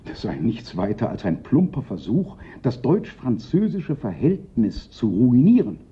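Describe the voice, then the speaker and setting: An actor playing a fretful, self-pitying police prefect of Paris in a German radio play, theatrical and given to panicky lament. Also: male voice, mid-range voice, huge pitch swings, unhurried. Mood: desperate, pleading. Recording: dull, lo-fi radio play recording.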